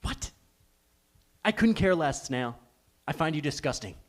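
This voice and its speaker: A man's voice: fairly high pitch, lively intonation, unhurried. A man playing a cocky, scornful, over-competitive bowler, emphatic and self-important, in a live comedy table read. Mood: disdainful.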